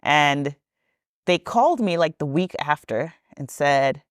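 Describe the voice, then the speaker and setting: A low-voiced woman talks through her life story as a podcast guest. She rambles comfortably, restarting sentences and dropping in ums, and laughs easily. The sound is dry and close, with no room around it. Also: lively intonation, takes it slowly, clear, resonant tone, no echo. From Trinidad and Tobago. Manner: chatty and rambling, frequent ums and self-corrections, laughs easily. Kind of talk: casual storytelling interview.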